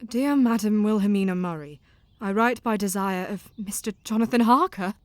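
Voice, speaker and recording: A woman's voice: medium pitch, moderate pace, a little mellow, close to an everyday voice. A woman voicing a devoted, self-doubting young woman, earnest and caring, who stammers when nervous. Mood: relieved. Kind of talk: audio drama acting and diary narration.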